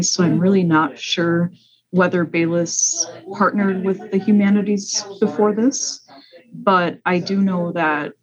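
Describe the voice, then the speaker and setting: A woman's voice: on the low side, muffled recording. A woman answering as a podcast guest in a conversational way. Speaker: podcast guest, library programming coordinator.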